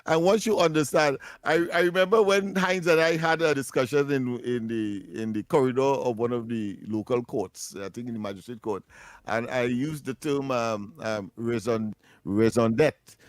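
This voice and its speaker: A man's voice: medium pitch, normal speed, no echo. Chatty man, dry studio sound. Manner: laughs often, repeats himself. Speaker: co-host. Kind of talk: casual chat.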